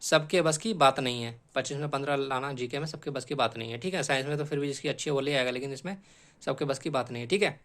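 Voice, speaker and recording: A man's voice: mid-range voice, fast talker, fairly bright tone. A man explaining exam strategy like a coaching teacher, repeating key points emphatically and often checking in with short tag questions.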